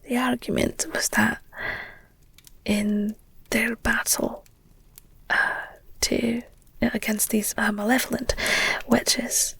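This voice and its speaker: A woman playing an affectionate, candid werewolf girlfriend in an intimate ASMR audio roleplay, talking in a soft, hesitant, breathy whisper with gently teasing, wry asides. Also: on the high side, heavily acted.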